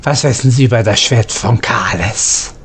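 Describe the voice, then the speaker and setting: A man with a gravelly voice reading out his character's lines as scripted dialogue for a video game. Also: mid-range voice, moderate pace.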